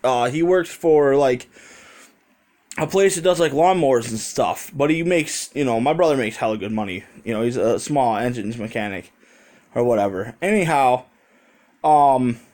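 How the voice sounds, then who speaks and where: A man's voice: mid-range voice, animated, normal speed, darkish tone. An American man talking in a rambling, conversational way with casual swearing, telling a story as a vlogger into a handheld phone.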